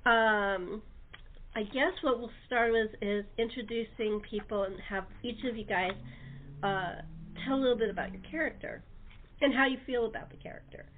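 A woman's voice: fairly high pitch, moderate pace, a little hiss or hum. A woman talk show host chatting casually and enthusiastically with her guests. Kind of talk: casual interview chat. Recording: tinny, narrow phone-line sound.

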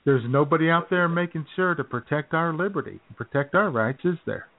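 A man's voice: fairly high pitch, animated, fairly quick, gravelly. A man co-hosting a casual political talk radio show, chatty and wry, laughing often. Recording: tinny phone-like radio audio.

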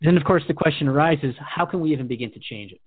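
A man's voice: on the high side, fast, slightly rough, no echo, phone-quality sound. A man hosting a radio drama conversation, asking questions in an inquisitive, conversational way. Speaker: radio show host.

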